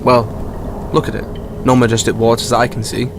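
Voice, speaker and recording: A man acts a sarcastic young travelling companion in a sci-fi audio drama. His mid-pitched voice is dry with sarcasm and exasperation, over a clearly noisy background. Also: level tone, fairly quick, close to an everyday voice. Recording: noisy ambience behind the voice.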